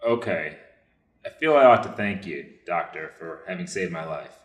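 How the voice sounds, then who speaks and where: A man's voice: sits low, lively intonation, normal speed. A man playing a weak, grateful wounded American prisoner in a reader's theater piece, hesitant and plain-spoken. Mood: subdued, grateful. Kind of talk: reader's theater stage reading. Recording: echoey room.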